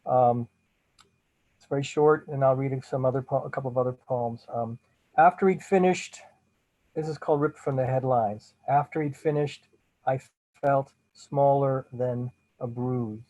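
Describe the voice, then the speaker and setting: A man's voice: mid-range voice, steady tone, unhurried, warm tone. A man, a guest poet, speaking hesitantly with many fillers before reading over a video call. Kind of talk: casual remarks and poetry reading. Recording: dull lo-fi video call audio.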